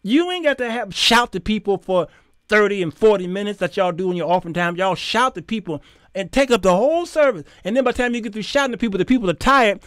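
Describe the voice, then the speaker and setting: A man's voice: high voice, expressive, fast talker, fairly bright tone, dry recording, clean studio sound. An American man in his fifties hosting an informal, opinionated monologue, speaking emphatically in a preacherly way with rhetorical questions. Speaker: talk show host. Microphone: close condenser microphone on boom arm.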